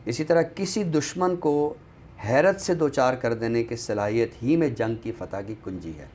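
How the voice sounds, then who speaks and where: A man with a Pakistani accent discussing books in a deliberate, scholarly way, often pausing mid-sentence. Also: medium pitch, darkish tone. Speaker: literary show presenter. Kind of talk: book discussion in Urdu literary talk. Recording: noticeable background noise.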